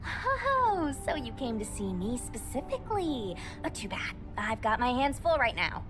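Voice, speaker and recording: An actress playing an eager young woman mechanic in an anime game, chatty and animated. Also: fairly high pitch, a little slow. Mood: pleased, apologetic. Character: eager young woman ace mechanic, cheerfully fussing over robots. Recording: background music under the voice.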